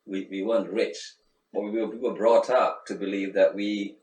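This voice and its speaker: A man's voice: on the low side, fairly even intonation, moderate pace, a little mellow. A middle-aged man from Sri Lanka, talking in a reflective, casual interview with many restarts and fillers. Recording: echoey room, faint background noise, mic at a distance.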